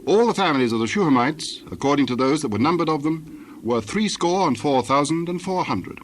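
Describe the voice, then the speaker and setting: A man narrating dramatized scripture in a formal, measured recitation. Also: fairly high pitch, expressive. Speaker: Bible narrator. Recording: clear ambient noise behind narration.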